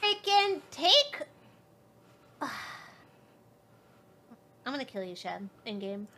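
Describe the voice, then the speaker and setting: A woman's voice: sits high, very animated, slow, pushed and bright. A woman chatting casually as an online game player, with faint noise on the voice chat.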